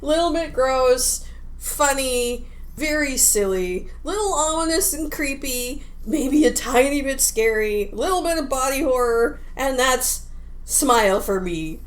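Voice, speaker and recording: A woman with a fairly high voice chats as a podcast co-host. Her delivery is casual, with very animated swings in intonation. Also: measured pace. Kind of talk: casual game discussion.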